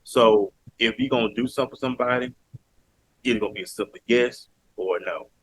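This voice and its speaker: A man's voice: mid-range voice, a little fast, husky, dry recording, rough recording. A man from Alabama with a Southern accent, talking earnestly and emphatically as a podcast guest. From Mobile, Alabama, US. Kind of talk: casual interview talk.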